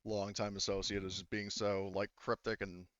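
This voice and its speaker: A man with a lowish, smooth, clear voice runs a tabletop roleplaying game. He explains and narrates casually, like chatting with friends. The recording is dry and a little dull. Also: level tone, normal speed, a bit bright, dry recording. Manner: informal, conversational, explanatory. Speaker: game master.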